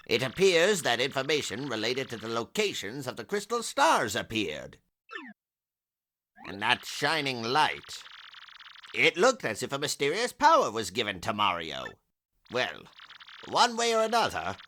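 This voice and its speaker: A man voicing an excitable, learned old professor in a video game dub, with an earnest, scholarly manner. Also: medium pitch, a little slow, slight background noise, full character voice. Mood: pondering.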